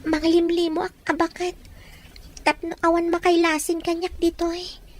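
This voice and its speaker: A woman's voice: extremely high pitch, little pitch movement, normal speed. A woman playing a scheming, lovesick young woman thinking aloud in an audio drama monologue. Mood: longing.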